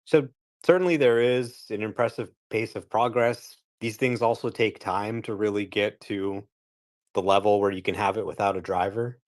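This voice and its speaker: A man co-hosting a live chat, giving relaxed commentary and thinking aloud with fillers. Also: on the low side, normal speed. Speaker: co-host. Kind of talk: casual chat, opinionated commentary.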